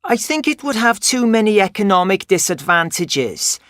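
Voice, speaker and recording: A man playing a young man from North Wales, who speaks in a measured, firm scripted monologue. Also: sits high, big pitch swings, fairly bright tone, no room sound, clean studio sound.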